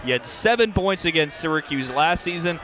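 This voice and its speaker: A man's voice: fairly high pitch, animated, brisk, bright, forceful tone, a faint crowd. A man giving live radio color commentary on lacrosse, analyzing play with emphasis. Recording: clear background game noise.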